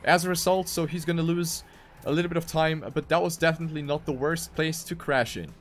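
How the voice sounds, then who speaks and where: A man's voice: fairly high pitch, steady tone, brisk, slight background noise. A man doing live esports commentary on a racing game, with dramatic, emphatic phrasing.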